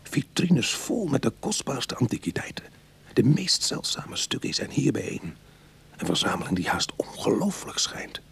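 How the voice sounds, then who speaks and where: A man playing a streetwise reformed burglar who tells his own uneasy story in a radio drama, husky and confiding. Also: medium pitch, sing-song, moderate pace, whispered, heavily acted. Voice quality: husky, breathy. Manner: hushed confiding narration, exclamatory in dialogue.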